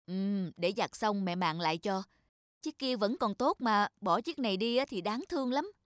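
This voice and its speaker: A woman's voice: mid-range voice, animated, moderate pace, no echo, close to an everyday voice. Woman acting a firm, caring cartoon mom, close and dry. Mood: gentle, caring. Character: firm but caring mother of young twin boys. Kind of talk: animated children's cartoon dubbing.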